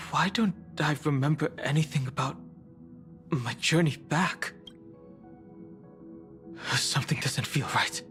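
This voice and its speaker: An actor playing a gentle, bewildered, pensive young male traveller in a video game. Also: fairly high pitch. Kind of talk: English video game voice acting. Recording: game music underneath.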